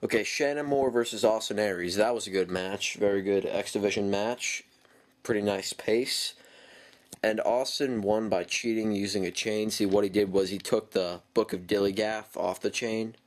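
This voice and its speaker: A man with a slightly low voice and a stuffy nose from a cold gives a casual spoken review. He rambles, fills gaps with ums, and corrects himself mid-sentence, keeping an easygoing pace. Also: fairly even intonation, moderate pace. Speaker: wrestling show reviewer.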